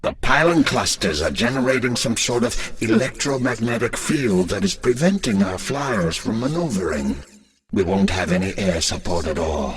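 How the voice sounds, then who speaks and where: A man playing a dutiful lieutenant to an alien queen in a video game, formal, measured and deferential. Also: on the low side, animated, husky. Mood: calculating.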